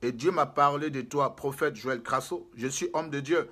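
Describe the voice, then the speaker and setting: A man's voice: medium pitch, moderate pace, pushed and bright, slightly rough. A man, preaching to a camera in a small room, emphatic and repetitive, gesturing as he speaks. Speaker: prophet and spiritual guide.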